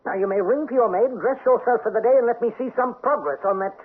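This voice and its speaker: An actor playing a haughty, petty and domineering French count in a vintage radio drama, with a pompous manner. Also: male voice, very high voice, fast, husky. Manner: pompous, imperious. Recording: tinny old radio recording.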